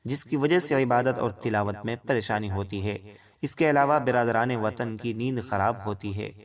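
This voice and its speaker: A man presents religious rulings in a formal, scripted way, speaking directly to his viewers. His pitch sits in an ordinary male middle range. The voice is picked up at some distance from the microphone. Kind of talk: formal scripted explanation, reading rulings aloud.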